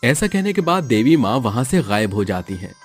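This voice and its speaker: A man's voice: medium pitch, sing-song, moderate pace, faint music behind. A man narrating a scripted moral story as a storyteller.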